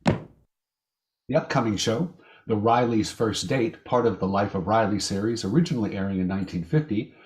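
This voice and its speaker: A man hosting an amateur vintage radio show, reading a scripted introduction in a measured, announcer-like way. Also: lowish voice, a little mellow. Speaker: host.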